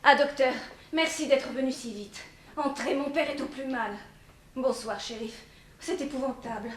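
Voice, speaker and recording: High-voiced, anguished young lady played by a woman. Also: normal speed, natural voice. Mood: distraught. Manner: polite, dramatic, anguished. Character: distraught planter's daughter, a well-mannered young lady. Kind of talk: 1960s French radio drama acting. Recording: noisy, echoing old radio recording.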